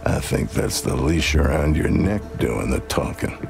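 A very low, gravelly defiant western marshal for a video game. His gruff delivery swings in pitch, under loud music. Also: lively intonation, unhurried, exaggerated voice.

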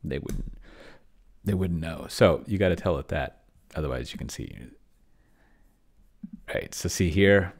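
A middle-aged man giving casual think-aloud screencast commentary, halting and muttering as he types. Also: deep voice. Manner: halting, mutters while typing. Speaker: host of a solo roleplaying experiment vlog. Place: small indoor room with bookshelves.

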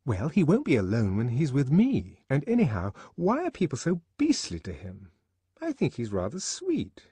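A man's voice: mid-range voice, wildly swooping pitch, moderate pace, warm tone, slightly rough, no echo, voice pushed up, exaggerated voice. A man voicing a breezy, casual young woman with a defiant, tender streak in an audiobook. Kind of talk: audiobook character acting.

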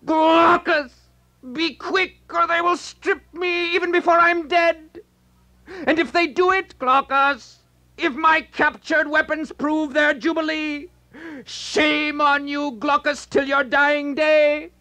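A man's voice: very high voice, flat delivery, slow pace, sharp tone, voice pushed up, full character voice. A man voicing a wounded young warrior who desperately cries out to his comrade in a storybook reading. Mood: desperate pleading.

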